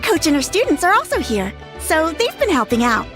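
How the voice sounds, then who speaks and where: A young village girl in a video game, eager and respectful. Her voice is extremely high, with faint music playing underneath. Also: huge pitch swings, a little fast.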